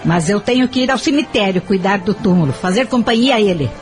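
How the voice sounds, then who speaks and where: A woman's voice: mid-range voice, expressive, brisk, soft background music, lightly acted. A woman with a Brazilian accent acting a harsh, grieving mother in an audio drama. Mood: bitter, scolding. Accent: Brazilian Portuguese. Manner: harsh, scolding.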